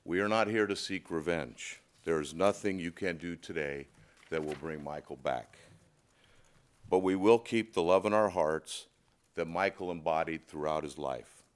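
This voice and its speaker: A man solemnly reading a prepared statement in court with measured phrasing. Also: sits low. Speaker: spokesman reading a family statement. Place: indoor courtroom.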